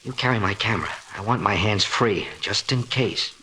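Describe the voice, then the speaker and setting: An actor playing a cocky, wisecracking newspaper reporter in a hissy 1940s radio drama. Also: male voice, fairly low pitch, normal speed, pushed and bright, breathy edge, low-quality recording. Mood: confident, determined. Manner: brash, slangy wisecracking delivery.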